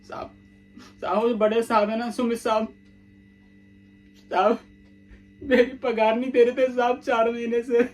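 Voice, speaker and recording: A man's voice: very high-pitched, level tone, unhurried, pauses often, faint music behind. A man playing a poor servant accused of theft, pleading in pain and calling his questioner "sir" over and over. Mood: desperate confession. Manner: pleading, repeating "sir" again and again. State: in pain from blows. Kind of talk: dramatic acting monologue.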